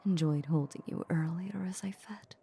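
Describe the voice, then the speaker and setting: A woman playing a tender, possessive vampire countess in an intimate ASMR audio drama, speaking in a soft, breathy, coaxing whisper. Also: lowish voice. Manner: slow, coaxing, intimate murmur.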